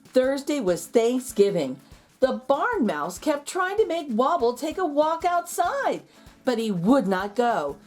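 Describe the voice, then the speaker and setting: High-pitched, animated woman reading a storybook. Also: takes it slowly, faint music behind. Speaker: children's read-aloud host and storyteller. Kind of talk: expressive picture-book narration for children.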